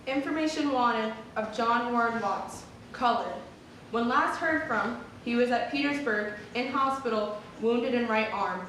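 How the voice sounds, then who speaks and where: A woman's voice: on the high side, unhurried, fairly bright tone, slight background noise. Woman performer, distant and very echoey. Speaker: performer reading historical newspaper ads. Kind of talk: staged dramatic reading. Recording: very echoey hall from far off.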